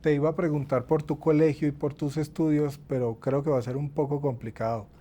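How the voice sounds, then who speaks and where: A man interviewing a musician, asking friendly questions in a gentle, halting way. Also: fairly high pitch, little pitch movement, a little hiss or hum.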